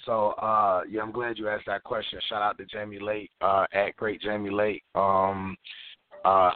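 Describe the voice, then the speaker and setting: A man's voice: low-pitched, dry recording. A man from Florida, an artist being interviewed, talking in a laid-back way with many fillers and restarts. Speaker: interview guest, recording artist. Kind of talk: casual interview talk. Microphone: phone call. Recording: tinny phone line.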